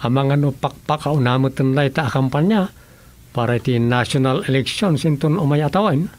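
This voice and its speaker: A man's voice: mid-range voice, moderate pace, darkish tone, lightly acted. A male actor with an Ilocano accent playing an inquisitive, skeptical villager in a rural comedy radio drama. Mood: curious, doubtful. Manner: questioning, colloquial.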